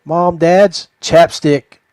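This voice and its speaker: Male, higher-pitched voice, podcast host, casual folksy chat, close dry sound. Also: steady tone, drawn-out words, a little mellow, clear, resonant tone, dry recording. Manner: folksy, addresses listeners as folks and guys. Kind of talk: casual chat about fishing gear.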